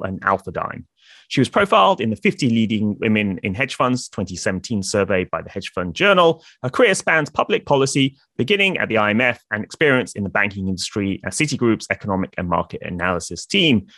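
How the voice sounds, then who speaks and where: Lively male podcast host, dry sound. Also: on the low side, no room sound. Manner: lively, promotional delivery. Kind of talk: scripted intro, then casual interview. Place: indoor room, plain wall. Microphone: video call.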